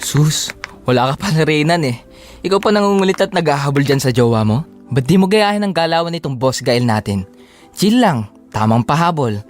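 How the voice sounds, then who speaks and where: A man's voice: a little high, lively intonation, a little fast, soft background music, close to an everyday voice. Playful, needling young male buddy played by an actor. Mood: teasing. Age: young adult. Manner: jokey, slangy, needling. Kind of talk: audio drama acting.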